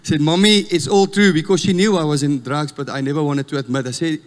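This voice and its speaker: A man in his fifties with a South African Afrikaans accent, preaching a sermon and telling stories with lively, self-mocking humour. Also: on the high side, fast. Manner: storytelling, animated, laughs at himself. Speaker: guest preacher. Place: church hall. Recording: long church reverb.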